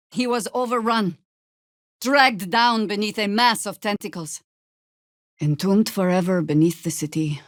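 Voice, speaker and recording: A woman's voice: lowish voice, no echo, studio quality, lightly acted. An actress playing a seasoned, sardonic female druid warrior in a video game, with a wry, commanding and theatrical delivery. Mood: grave.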